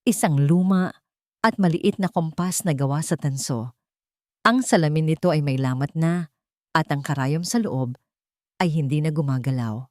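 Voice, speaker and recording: A woman's voice: deep voice, normal speed, long pauses, dry recording. Woman narrating a romantic drama, close dry sound. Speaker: narrator of audio romance stories. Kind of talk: scripted dramatic story narration.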